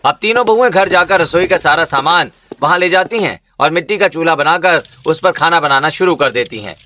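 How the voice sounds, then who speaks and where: A man's voice: a little high, expressive, a little fast, pushed and bright. A man narrating a scripted cartoon village story as a storyteller.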